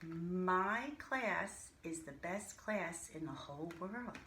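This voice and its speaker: A woman teaching a reading lesson to young children, pronouncing single words deliberately and speaking to the children directly. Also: fairly low pitch, animated, pushed and bright. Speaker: primary school reading teacher. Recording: roomy echo, faint background noise.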